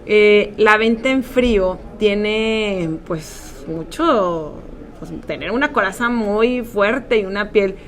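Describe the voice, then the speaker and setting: A woman's voice: mid-range voice, slow. Upbeat woman hosting an interview. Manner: upbeat, with frequent filler words. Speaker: podcast host. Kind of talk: casual interview. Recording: faint background noise.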